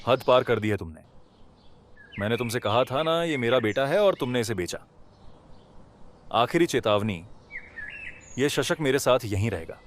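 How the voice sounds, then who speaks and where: A man's voice: medium pitch, lively intonation, normal speed, pauses often, a little hiss or hum, close to an everyday voice. A man voicing a kind-hearted, emotional poor villager in a Hindi cartoon, speaking in a dramatic, pleading way. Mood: angry, demanding. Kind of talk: Hindi animated moral story dialogue.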